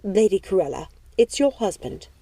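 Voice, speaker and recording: A woman as apologetic housekeeper, hesitant, deferential, shaken, mellow tone, storybook. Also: medium pitch, big pitch swings, moderate pace, dry recording.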